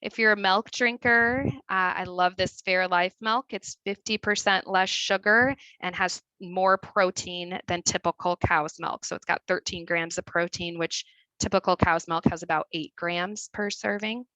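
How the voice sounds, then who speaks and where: A woman's voice: on the low side, steady tone, unhurried, pushed and bright, dry recording, poor audio. A woman dietitian with a Midwestern accent, giving a chatty webinar presentation over a video call with many fillers. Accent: Midwestern American.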